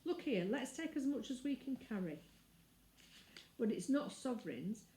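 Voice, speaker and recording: Woman doing an eager, bossy schoolboy, raised pitch. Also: sing-song, mellow, slight background noise. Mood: bossy, impatient. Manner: eager, brisk, impatient. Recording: roomy indoor echo.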